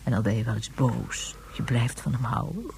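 An actress playing a gentle mother speaking softly and hushed at bedtime. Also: extremely low pitch, moderate pace, gravelly, noisy background, exaggerated voice. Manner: soft, hushed. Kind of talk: radio drama acting.

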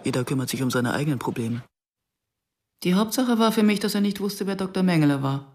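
A woman's voice: fairly low pitch, lively intonation, brisk, a little mellow. An actress playing a guarded, reflective woman in her early fifties who narrates and converses soberly in a German radio drama. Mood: dry, reflective. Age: middle-aged.

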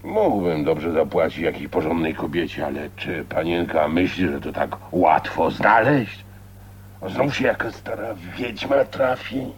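Old Polish dialect-speaking labourer, deep, slow, gruff, earthy, cursing, radio drama. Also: male voice, a little hiss or hum. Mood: bargaining. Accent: rustic Polish dialect. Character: gruff, foul-mouthed old working man, scornful of respectable folk.

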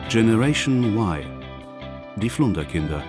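A man's voice: on the low side, sing-song, measured pace, music in the background. A man announcing titles and introductions formally for a radio feature. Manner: formal, declaiming. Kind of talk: scripted announcement.

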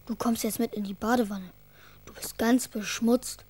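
A woman's voice: on the high side, steady tone, normal speed, darkish tone, husky. A woman playing an eager, caring, slightly bossy young boy in a children's radio play. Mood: matter-of-fact.

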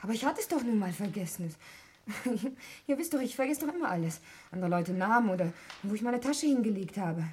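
An actress playing an anxious, defensive young heiress in a 1960s German radio play, hesitant and indignant when challenged. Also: female voice, medium pitch, expressive, a little fast, darkish tone, close to an everyday voice. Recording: faintly noisy 1960s radio recording.

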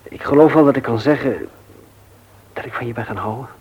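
Radio drama role: resigned, earnest lover, husky mid-pitched voice, halting delivery. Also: male voice, highs cut off. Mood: taken aback, hurt. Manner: halting, hesitant. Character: earnest, disappointed suitor, resigned and proud. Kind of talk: intimate radio drama dialogue.

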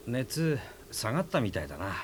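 A man's voice: medium pitch, very animated, normal speed, slight background noise, natural voice. An actor playing a dry, logical middle-aged man in a radio drama, talking in a matter-of-fact, reasoning way. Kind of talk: 1990s radio drama acting. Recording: music bed under the dialogue.

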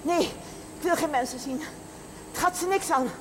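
Actress as a high-voiced, plaintive older shopkeeper mother. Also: normal speed, close to an everyday voice. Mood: distressed. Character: proud, upset widowed mother running a struggling shop. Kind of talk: naturalistic television drama acting. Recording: noisy 1970s television soundtrack.

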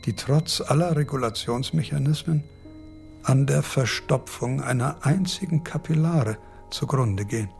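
An actor playing an aged, eccentric philosopher in a radio drama, husky and halting, with a pedantic, fretful manner. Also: male voice, medium pitch, darkish tone, faint music behind. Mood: pedantic, deliberate. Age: older. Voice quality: creaky, husky.

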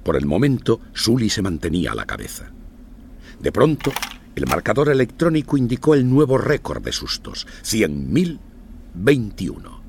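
A man's voice: on the low side, huge pitch swings, moderate pace, vocal fry, a little mellow, slight background noise. A man narrating a scripted children's story with dramatic storytelling delivery.

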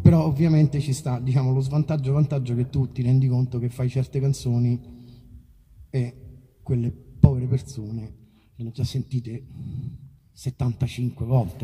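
Rambling musician's casual stage banter. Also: male voice, medium pitch, mellow, slightly rough. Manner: rambling, self-deprecating, colloquial with swearing. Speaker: musician performing live. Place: live outdoor festival concert.

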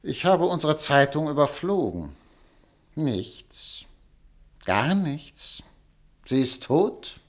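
An actor in a radio drama playing a cynical, scheming newspaper director with a slippery, theatrical manner. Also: male voice, a little high, sing-song, slow pace, long pauses. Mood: disgruntled. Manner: slippery, theatrical, feigned innocence. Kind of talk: German radio drama acting.

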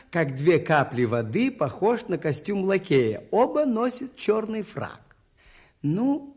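An actor in a radio play voicing a shrewd, unassuming priest detective who explains things politely and in a measured way. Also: male voice, high voice, darkish tone, full character voice. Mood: calm, explanatory. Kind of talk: 1980s radio play acting.

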